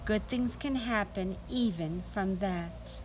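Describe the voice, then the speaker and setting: Middle aged lady reading to little kids outside, really slow and expressive, bit of outdoor noise. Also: mid-range voice. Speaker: teacher reading to children. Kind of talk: read-aloud storytime for young children. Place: outdoors in a sunny backyard.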